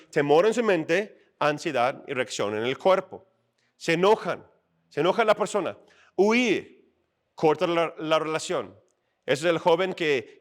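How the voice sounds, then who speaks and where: Animated pastor, a man with a fairly high voice. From outside Mexico. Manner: conversational, animated, acts out little scenes and asks "¿no?". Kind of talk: sermon. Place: church stage.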